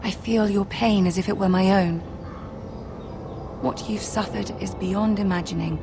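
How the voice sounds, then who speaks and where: A woman playing a poised, gracious young noblewoman soon to be queen in a fantasy game drama, measured and formal. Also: mid-range voice, fairly even intonation, background noise, natural voice. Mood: gracious, sympathetic. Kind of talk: video game drama acting.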